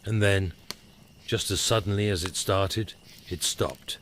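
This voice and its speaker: Very deep-voiced male horror narrator. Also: unhurried, a bit bright. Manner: dramatic, suspenseful delivery. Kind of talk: scripted first-person scary story narration. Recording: clear background crackling noise. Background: crackling fire ambience.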